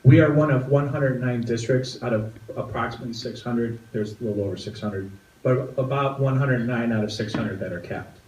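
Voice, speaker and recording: A man's voice: medium pitch, darkish tone. Man explaining school funding at a meeting. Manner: measured, factual explanation. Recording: roomy, distant, with faint noise.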